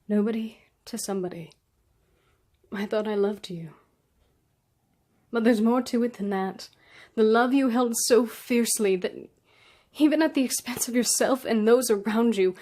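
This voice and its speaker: A woman performing a slam poetry reading, earnest and dramatic, with deliberate pauses between phrases. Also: mid-range voice, dark tone. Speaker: slam poet.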